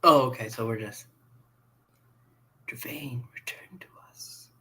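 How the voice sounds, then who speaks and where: A man's voice: medium pitch, takes it slowly, pushed and bright. A man hosting a live podcast panel, chatting casually as he moderates.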